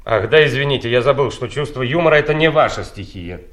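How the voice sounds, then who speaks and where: An actor playing a self-important district prosecutor, a boss in an audio play. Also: male voice, medium pitch, animated, fast talker, fairly bright tone, close to an everyday voice. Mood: dry, bossy. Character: self-important district prosecutor, sarcastic with staff, deferential to superiors.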